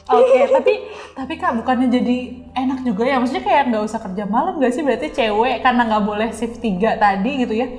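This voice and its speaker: A woman hosting a casual interview, chatty and quick to chime in with agreement. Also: on the high side, a little mellow, soft background music. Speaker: podcast host interviewing a guest. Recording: echoey room with long reverb.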